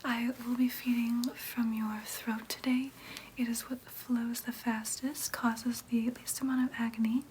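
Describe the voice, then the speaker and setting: A woman voicing a gentle, businesslike vampire nurse in an ASMR roleplay, in a breathy whisper with a soothing clinical manner. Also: on the high side, level tone, measured pace, few pauses, a little hiss or hum, lightly acted. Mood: calm, reassuring. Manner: soothing, clinical, hesitant asides.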